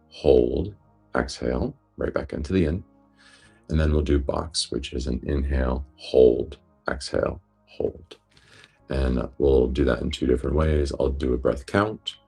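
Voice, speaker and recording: A man guiding a breathwork meditation in a gentle, unhurried, reassuring manner. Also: very deep voice, slightly rough. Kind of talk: guided meditation instruction.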